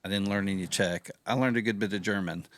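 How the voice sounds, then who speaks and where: A man hosting a casual podcast interview, chatty and relaxed, with lots of fillers. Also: on the low side, fast talker, dry recording. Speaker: podcast host.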